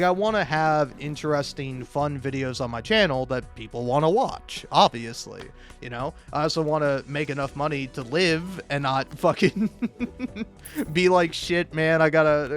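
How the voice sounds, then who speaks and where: Higher-voiced man rambling over music. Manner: halting, repeats words and restarts often; self-deprecating, jokey, swears freely. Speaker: video game critic and YouTuber. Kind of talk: casual rambling gameplay commentary.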